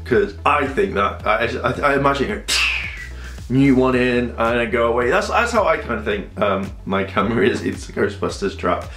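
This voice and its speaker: Male vlogger, nasal from a cold, excitable ranter, mid pitch, echoey room. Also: normal speed. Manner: animated, rambling, with sudden high-pitched outbursts. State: congested from a cold. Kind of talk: casual opinion vlog to camera. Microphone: camera on a tripod. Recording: echoey room with faint music.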